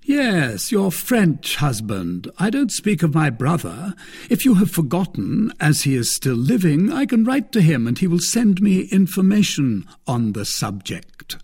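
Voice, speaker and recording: A man reading the part of a cold, contemptuous English nobleman, measured and mocking. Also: on the high side, big pitch swings, darkish tone, breathy edge, pitched above the performer's own voice, exaggerated voice. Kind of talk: audiobook character reading.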